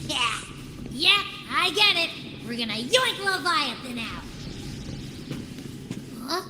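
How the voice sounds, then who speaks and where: Tiny eager game creature, extremely high childlike voice, chirpy, slangy, unhurried, echoey. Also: female voice, big pitch swings, heavily acted. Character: small, childlike, eager energy-eating companion creature. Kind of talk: video game voice acting. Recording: echoey reverb with clear background noise.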